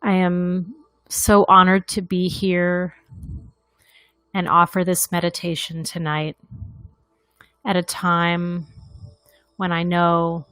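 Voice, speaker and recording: A woman leading a guided meditation in a gentle, deliberate manner, leaving long pauses between phrases. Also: lowish voice, no echo.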